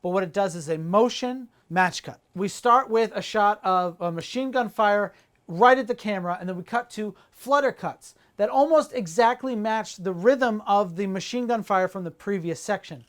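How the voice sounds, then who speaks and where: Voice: medium pitch, normal speed. A podcast co-host casually commenting on movie trailers, with a playful, teasing way of bantering.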